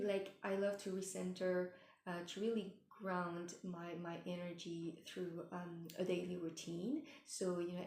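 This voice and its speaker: A woman giving gentle spiritual advice in a meandering way, with many fillers and restarts, and she is losing her voice. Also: lowish voice, reverberant, slight background noise. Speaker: spiritual mentor. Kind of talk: casual advice talk.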